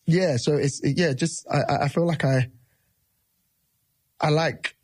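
Male musician guest, 30s, husky mellow voice, dry close sound, rambling casual delivery. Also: on the high side, slow, no echo. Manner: relaxed, rambling, with many fillers and restarts. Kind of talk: casual interview talk.

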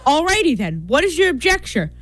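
A woman's voice: high-pitched, very animated, fairly quick, a bit bright. A woman playing a prim, concerned bartender in a comic radio play, with a theatrical manner. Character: concerned, prim bartender who officiates weddings.

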